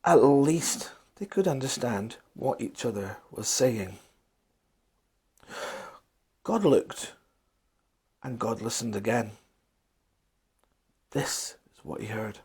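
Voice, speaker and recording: A man telling a children's bedtime story, with dramatic pauses, emphatic repetition and questions to his listeners. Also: mid-range voice, expressive, darkish tone, breathy edge.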